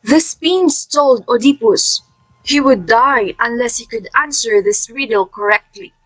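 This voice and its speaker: Woman playing a high-voiced, mournful chorus citizen. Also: a little slow, a bit bright, no echo, poor audio. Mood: storytelling. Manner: formal, dramatic recitation. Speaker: narrator and chorus actor. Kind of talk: staged readers' theatre of Greek tragedy.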